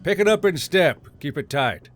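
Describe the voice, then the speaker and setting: A man's voice: fairly high pitch, lively intonation, normal speed, fairly bright tone, soft background music, pitched above the performer's own voice. A man voicing a commanding, good-humoured military warlord in an audiobook, terse but amiable.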